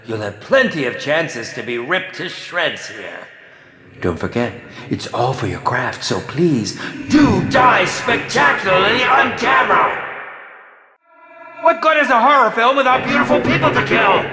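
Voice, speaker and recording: An actor voicing a pompous, campy, sadistic horror film director for a video game, with condescending, drawn-out theatrical delivery. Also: male voice, sits high, very animated, echoey room, big-room sound, loud music behind the voice, full character voice. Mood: smug, theatrical. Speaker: game announcer.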